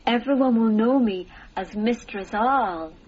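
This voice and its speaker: A woman's voice: fairly high pitch, measured pace, husky. A woman playing an eager, deferential young servant girl from eighteenth-century England in a radio drama. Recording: dull lo-fi taped radio broadcast, hiss.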